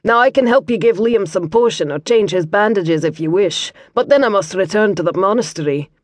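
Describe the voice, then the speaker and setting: A woman's voice: mid-range voice, brisk, dry recording, lightly acted. A woman doing a prim Scottish monk. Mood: earnest. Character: pious Scottish male monk, fussy and flustered.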